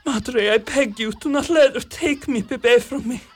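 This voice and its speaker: A woman's voice: a little high, unhurried, faint music behind, natural voice. A woman playing a humble, imploring young Spanish-speaking mother in a community radio play. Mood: desperate pleading. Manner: devout, imploring, Spanish words mixed into English.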